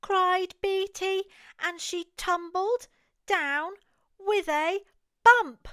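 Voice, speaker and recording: English female storyteller, very high voice, unhurried and expressive, for toddlers' picture books. Close, dry sound. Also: dry recording. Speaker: children's storyteller. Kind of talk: read-aloud picture book narration for toddlers.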